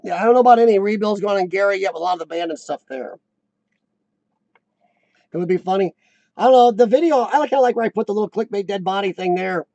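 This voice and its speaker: A man in his forties hosting a casual live chat, with a high-pitched squeaky voice worn by coughing, who often clears his throat and restarts his sentences. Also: quick pace, pauses often, mellow, no room sound, highs cut off. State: voice worn from lung illness and coughing. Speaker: host of a live chat show. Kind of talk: casual live-stream chat reading viewer comments.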